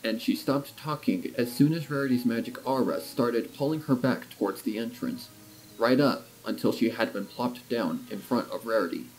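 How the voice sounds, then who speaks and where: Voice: medium pitch, normal speed, darkish tone, poor audio. A narrator reading a scripted romantic story in a storytelling style. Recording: music behind the voice.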